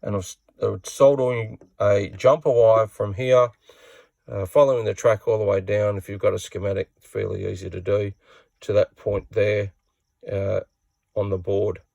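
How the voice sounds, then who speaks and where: A man's voice: lowish voice, steady tone, normal speed, vocal fry, darkish tone, no echo. A man giving a casual hands-on repair tutorial in a plain-spoken, matter-of-fact way.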